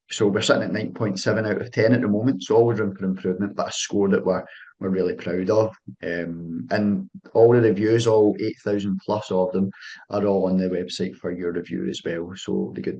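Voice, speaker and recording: A young Scottish man presenting a business software webinar over a video call, friendly and full of "um"s and restarted phrases. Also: deep voice. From Scotland. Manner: filler-heavy, restarts phrases, friendly sales tone.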